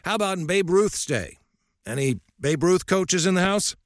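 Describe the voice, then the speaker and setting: A man's voice: sits high, expressive, normal speed, no echo, clean studio sound, voice pushed up. A man playing a bellowing old baseball coach. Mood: barking. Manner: barking, bellowing. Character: fiery old male baseball coach, gruff.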